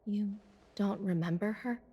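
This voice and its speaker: A woman's voice: mid-range voice, unhurried, warm tone, slightly rough, muffled recording. A woman playing a dutiful, world-weary military officer in a video game, explaining things matter-of-factly. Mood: grave, weary. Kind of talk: English video game dialogue. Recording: game audio with music behind.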